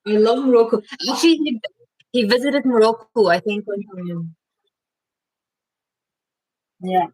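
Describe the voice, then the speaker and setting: A woman's voice: on the high side, lively intonation, unhurried, a little mellow, rough recording. Chatty woman hosting a live Q&A. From Egypt. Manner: chatty, reads viewer comments aloud, many fillers. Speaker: vlog host. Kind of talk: casual live-stream Q&A.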